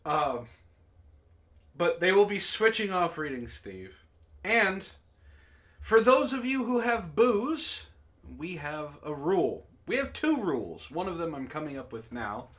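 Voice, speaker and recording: A man's voice: on the high side, very animated, unhurried, fairly bright tone. A man hosting a casual group livestream with informal, sweary banter. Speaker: host.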